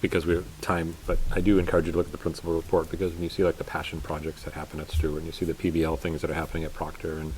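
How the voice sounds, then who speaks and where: A school administrator, a man, speaking briskly and matter-of-factly in a meeting room. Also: very deep voice, monotone, darkish tone, slight background noise, poor audio. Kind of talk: meeting discussion.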